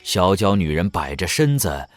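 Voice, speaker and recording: A man's voice: low voice, big pitch swings, normal speed, soft background music. A man narrating an audio drama in a suspenseful, dramatic scripted storytelling style.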